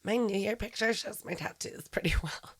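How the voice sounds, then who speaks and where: A woman's voice: mid-range voice, normal speed, whispered. A woman chatting casually and playfully as a podcast host, with a candid manner.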